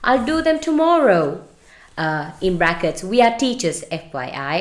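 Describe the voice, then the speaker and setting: A woman's voice: on the low side, sing-song, moderate pace. A woman podcast co-host, chatting casually and reading stories aloud in an informal, sweary, conversational way. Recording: roomy, echoey sound.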